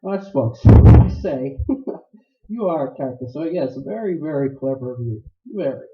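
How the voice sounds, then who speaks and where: An actor in a noir radio play, playing a suave, courtly older criminal mastermind who speaks with florid, deliberate politeness. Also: male voice, a little high, animated, lightly acted. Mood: eager, grandiose. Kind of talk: staged radio play acting, 1940s noir. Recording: roomy, dull live stage recording.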